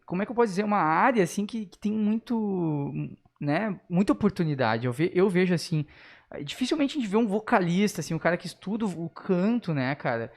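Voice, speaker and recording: A man's voice: high voice, very animated, fairly quick. Southern Brazilian man, casual slangy podcast host. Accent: southern Brazilian Gaúcho. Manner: slangy, frequent short agreeing interjections. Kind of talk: casual interview chat.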